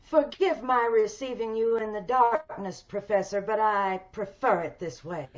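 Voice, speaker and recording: A woman's voice: medium pitch, a little slow, soft background music, close to an everyday voice. A woman playing a bitter, imperious, disfigured recluse in an old-time radio drama, theatrical and despairing. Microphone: video call. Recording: dull video-call audio.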